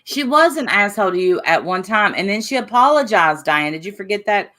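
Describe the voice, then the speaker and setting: A woman with a Southern American accent co-hosting a casual chat, animated and teasing as she answers viewers. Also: medium pitch, brisk. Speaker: co-host of a chat stream.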